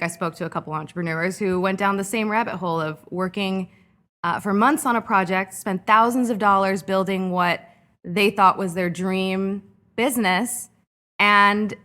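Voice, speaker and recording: A woman in her thirties from California, a startup founder speaking as a guest in a podcast interview, reflective and animated in her anecdotes, with frequent fillers. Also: medium pitch, moderate pace. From California, Orange County. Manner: reflective, lively when telling anecdotes, frequent "um" and "like". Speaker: guest entrepreneur.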